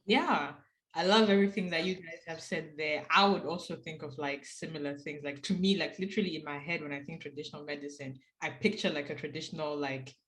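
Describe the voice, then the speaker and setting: A woman with a slightly low voice co-hosts a relaxed discussion podcast. She talks in a chatty way, sprinkling in fillers as she goes. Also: a little fast, rough recording. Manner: chatty, leans on "like". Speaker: podcast co-host. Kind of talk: casual discussion.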